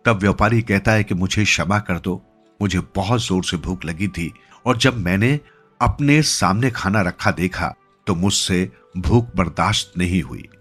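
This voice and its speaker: A man's voice: sits low, a little slow, fairly bright tone, husky, exaggerated voice. A man voicing an earnest, pleading merchant lost in the forest in a narrated storybook tale. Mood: apologetic, pleading. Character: male merchant, lost, apologetic, kind-hearted and trusting. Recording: music behind the voice.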